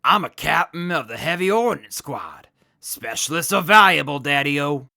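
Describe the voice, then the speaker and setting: An actor in an audio drama playing an earnest hepcat military captain who talks in jive beatnik slang. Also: male voice, fairly high pitch, lively intonation, moderate pace, gravelly, pushed and bright, no echo, clean studio sound, heavily acted. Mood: proud, sincere.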